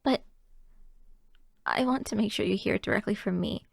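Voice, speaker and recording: A young woman playing a shy, affectionate girlfriend in an intimate ASMR roleplay, soft and hesitant, often slipping into whispers close to the microphone. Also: mid-range voice, moderate pace, creaky, slightly rough, dry recording.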